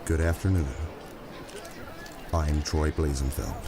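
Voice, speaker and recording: A man's voice: extremely low pitch, fairly even intonation, slow, heavily acted. An actor playing a solemn male TV anchor giving a scripted live report on location in an audio drama. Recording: clear outdoor city noise behind.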